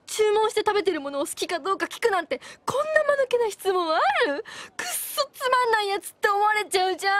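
A woman playing a flustered, lovestruck high school girl in an anime comedy, rambling dramatically in anxious inner monologue. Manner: overexcited, dramatic, rambling in self-reproach.

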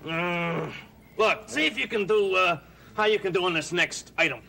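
A man voicing a grumpy, bossy male monster in a children's puppet show, with a gravelly, gruff and dismissive manner. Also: high-pitched, moderate pace, background noise.

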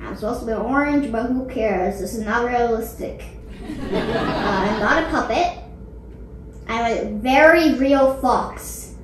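A woman doing cocky red fox puppet, defiant, emphatic, high voice, very echoey. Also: measured pace, lightly acted. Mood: defiant, boastful. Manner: bragging, emphatic. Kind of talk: amateur puppet show comedy. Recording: echoey room with loud noise.

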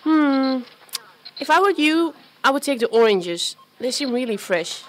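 A woman's voice: high voice, very animated, a little slow, fairly bright tone, full character voice. A woman playing a friendly, chatty, self-doubting young woman in an amateur radio play, with a hesitant, natural delivery. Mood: shy, friendly.

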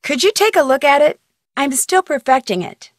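A young female sleuth from a mystery video game, curious and polite. Her voice sits on the high side and moves with animated intonation. The sound is dry and close. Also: normal speed, no echo. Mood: curious, probing. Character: inquisitive, polite young female amateur detective.

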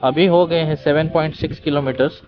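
A man's voice: a little high, steady tone, brisk. A man with an Indian accent giving casual, informal vlog commentary as a motovlogger, mixing two languages as he goes. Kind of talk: casual vlog commentary in mixed Hindi and English. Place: outdoors on rural trails and roads, while riding a scooter. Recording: slightly dull outdoor recording with vehicle noise.

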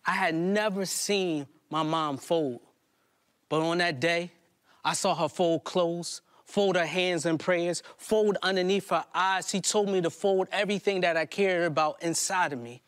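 A man's voice: fairly high pitch, steady tone, unhurried, a bit bright. A man in his thirties delivering theatrical spoken-word poetry on stage, with emphatic rhythm and dramatic repetition. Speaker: spoken word poet performing a stage play. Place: live stage in a plain hall before a small seated audience.

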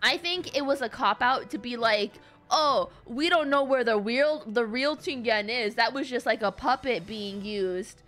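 A woman's voice: fairly high pitch, unhurried, hardly any pauses, fairly bright tone, faint music behind. A young woman doing casual live game commentary in a playful, slangy, dramatic way. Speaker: streamer.

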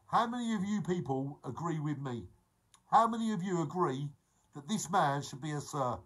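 A man in his forties livestreaming to viewers, talking fast and excitably and breaking into song, filmed on a phone held close in a room. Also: a little high, husky, poor audio. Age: 40s. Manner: excitable, sings snatches and repeats phrases. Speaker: vlogger. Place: indoor room beside a fish tank. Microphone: phone held close at arm's length.

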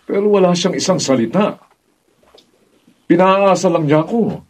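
A man's voice: high-pitched, lively intonation, normal speed, darkish tone. An older man with a Filipino accent playing an aggrieved, stubborn father in a radio drama. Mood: reproachful, indignant. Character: aggrieved, stubborn, vengeful middle-aged father and neighbor. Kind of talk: Tagalog radio drama acting. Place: radio drama recording studio, distant camera. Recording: dull audio with clear background noise.

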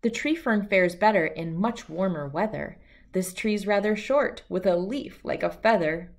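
Slow-paced woman reading a storybook. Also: mid-range voice. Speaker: children's book reader. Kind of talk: read-aloud of a rhyming children's picture book.

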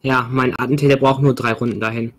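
A man's voice: mid-range voice, fairly even intonation, fast talker, fairly bright tone. A man co-hosting a strategy game let's play, asking short questions and thinking aloud about his moves. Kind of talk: casual gaming chat.

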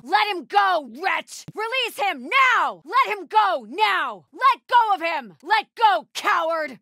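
A woman's voice: sits high, vocal fry, sharp tone, no echo, clean studio sound, heavily acted. A woman playing a zealous, fierce female cult warrior in a video game, with clipped, intense and devout delivery. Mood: angry, threatening. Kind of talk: video game combat barks and prayers.

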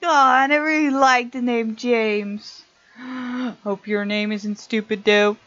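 A woman reads a visual novel aloud as a let's play host, dropping in casual remarks. Her voice sits fairly high and her manner is playful and expressive. The close-mic sound is dry and a little dull. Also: slow pace, dry recording, faint music behind. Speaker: gaming video host. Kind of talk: let's play, reading game text aloud with casual asides.

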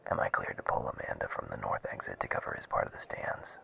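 A man's voice: quick pace, pushed and bright, whispered, lightly acted. A man playing a businesslike security subordinate reporting to his boss in an audio drama, matter-of-fact.

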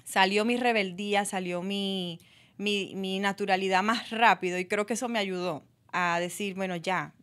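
A woman in her thirties with a Dominican accent talks on a radio show. She is lively and quick to laugh, and her voice is close on a studio mic. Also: mid-range voice. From Dominican Republic. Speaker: guest actress. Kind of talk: casual radio interview. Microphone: studio mic on arm.

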